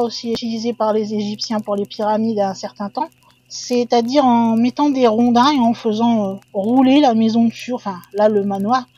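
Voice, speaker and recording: A woman's voice: a little high, warm tone, no room sound, highs cut off. A woman hosting a paranormal channel, telling a ghost legend in a chatty way with hedging asides to her viewers. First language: French. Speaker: channel host. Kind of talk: casual storytelling narration.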